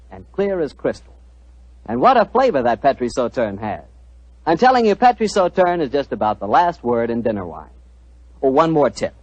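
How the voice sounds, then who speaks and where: An American man hosting a 1940s radio show, pitching the sponsor in a folksy, salesmanlike way. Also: darkish tone, no room sound. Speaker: announcer and host. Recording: muffled 1940s radio broadcast.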